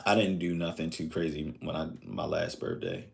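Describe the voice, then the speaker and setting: A man's voice: rumbling low, big pitch swings, normal speed, creaky. A man in his thirties chatting casually on a live stream, rambling and reflective, with lots of fillers. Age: 30s.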